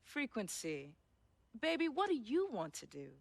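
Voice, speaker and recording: A woman's voice: on the high side, huge pitch swings, unhurried, creaky, slight background noise, exaggerated voice. A woman playing a middle-aged mother in a game cutscene, protective, loving and composed. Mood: firm, tender. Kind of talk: dramatic game cutscene acting.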